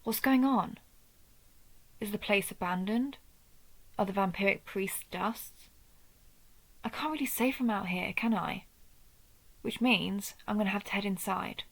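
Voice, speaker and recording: A wounded, proud vampire huntress acted by a woman with a creaky, measured voice, the sort heard in a late-night audio drama guarding a friend outside a ruined temple. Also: medium pitch, rough recording. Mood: wry, watchful. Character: injured female vampire hunter of vampires, protective, proud, wry. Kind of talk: scripted audio roleplay addressed to the listener.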